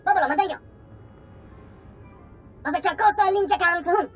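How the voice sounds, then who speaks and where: A woman's voice: very high voice, a little fast, faint music behind. A woman voicing a small, eager alien creature in a video game, chattering away in an invented tongue.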